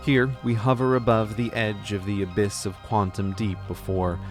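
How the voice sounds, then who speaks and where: A man's voice: lowish voice, little pitch movement, moderate pace. A man giving scripted narration in a grand, awed storytelling manner. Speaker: narrator of a played video. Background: music.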